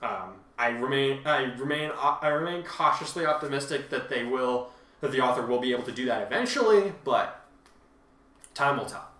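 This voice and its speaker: Bright-voiced man, roomy distant mic. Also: medium pitch, lively intonation, normal speed. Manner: defensive, emphatic, rambling explanations. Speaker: fan fiction author and co-host. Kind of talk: casual conversational commentary on listener reviews. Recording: roomy, echoey home recording, distant mic.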